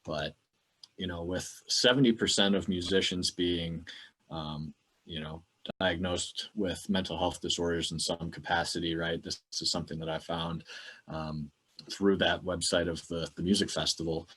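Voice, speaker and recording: Very deep-voiced man, casual podcast co-host. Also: steady tone, moderate pace, a bit bright, breathy edge.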